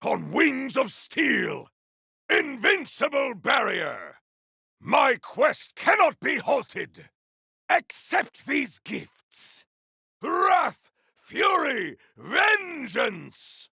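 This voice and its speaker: A man voicing a righteous, grandiose game warrior on a holy quest, with a gravelly, forceful voice and archaic, theatrical declamation. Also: very high-pitched, huge pitch swings, extremely slow, no echo, clean studio sound, heavily acted. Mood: battle fury. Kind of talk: video game combat voice lines.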